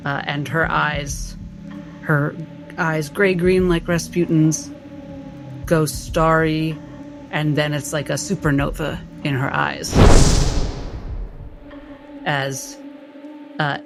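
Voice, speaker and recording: A woman playing a tabletop game, narrating her character's actions in a halting, dramatic way. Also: low voice, vocal fry. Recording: music bed under the voice.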